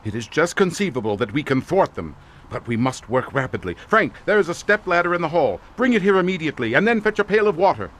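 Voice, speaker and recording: Haunted, high-strung occult researcher. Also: male voice, very animated, quick pace, a bit bright. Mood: frantic, urgent. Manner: theatrical, portentous, fervent declamation. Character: haunted male occult researcher, feverish, grandiose, near madness. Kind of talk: dramatic horror audio drama acting.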